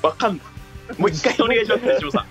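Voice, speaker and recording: A man's voice: high-pitched, lively intonation, fast. A young man, a comedian, bantering casually over a video call. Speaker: comedian guest. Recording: video call with music behind.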